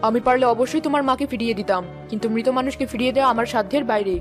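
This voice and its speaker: A woman's voice: on the high side, racing, close to an everyday voice. A woman voicing a benevolent, authoritative forest fairy queen in a children's cartoon, with stately manner. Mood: gracious, solemn. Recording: music under the voice.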